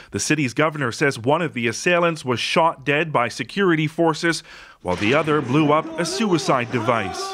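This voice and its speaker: A man reporting a scripted news story in a measured voice-over. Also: fairly high pitch, a bit bright, a little hiss or hum. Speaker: correspondent.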